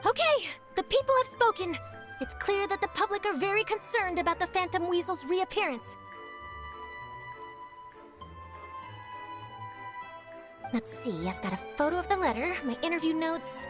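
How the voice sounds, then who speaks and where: A woman playing an eager young journalist chasing a scoop, brisk, upbeat and theatrical. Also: very high voice, animated. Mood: excited. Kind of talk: video game voice acting. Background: game score music.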